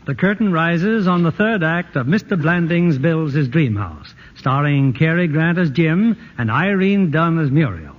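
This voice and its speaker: A man's voice: fairly high pitch, expressive, lo-fi sound, a little hiss or hum. A man giving polished, scripted narration for a 1940s radio play. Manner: polished, measured.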